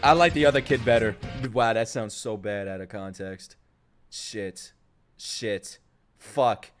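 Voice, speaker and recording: A man's voice: lowish voice, slow pace. A man streaming a casual live reaction, slangy, sweary and exclamatory. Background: game trailer music.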